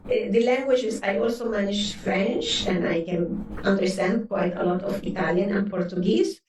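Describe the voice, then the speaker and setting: A woman's voice: medium pitch, moderate pace, darkish tone, a little hiss or hum. A woman in her sixties from Lebanon whose first language is Arabic, speaking earnestly as an interview guest and often restarting her words. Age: 60s. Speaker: guest psychotherapist. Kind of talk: interview conversation. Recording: roomy, distant mic.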